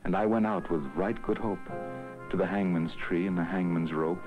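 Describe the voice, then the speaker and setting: A man giving a slow, grave, measured dramatic poetry reading as narrator of an animated film. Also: deep voice, narrow, tinny audio, over music. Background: orchestral film score.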